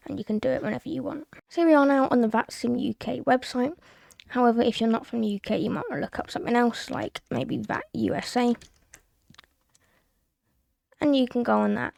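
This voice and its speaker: A British woman narrates a casual screen tutorial in a fairly high voice full of heavy vocal fry. Her tone is soft-edged and mellow, and she explains things plainly, one step at a time. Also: no room sound. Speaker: tutorial presenter.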